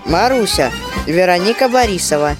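A woman's voice: on the low side, animated, moderate pace. A woman reading scripted cast credits as announcer. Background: loud music.

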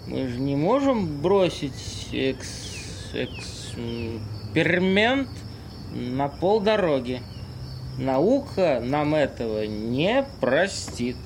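Homeless drunk, played by a male amateur: mock-grand, shameless, unhurried, audio-play style, clearly noisy outdoor backdrop with insects. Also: a little high, exaggerated voice. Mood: tipsy, assured. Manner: mock-grand, rambling tipsy delivery with halting words. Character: drunken homeless man, pompous, cheerfully shameless drinker.

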